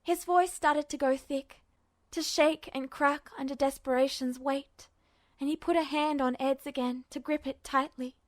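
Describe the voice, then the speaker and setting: Female story narrator, high voice, dramatic fiction reading, dry close sound. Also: steady tone, measured pace, dry recording.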